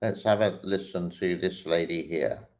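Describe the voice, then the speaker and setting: A man hosting his channel, talking through social media clips in a slow, hesitant way with many pauses and fillers. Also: low voice. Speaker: host reacting to online clips. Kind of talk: casual commentary while scrolling a feed.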